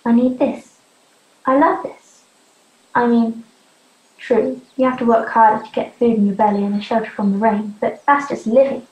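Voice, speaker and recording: A hurt, defiant teenage runaway girl, acted by a young woman, speaks earnestly in a fairly high voice. It is stage drama, caught in a muffled, roomy amateur recording. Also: fairly even intonation, normal speed, a little mellow, lightly acted. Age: young adult. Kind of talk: youth theatre stage drama acting.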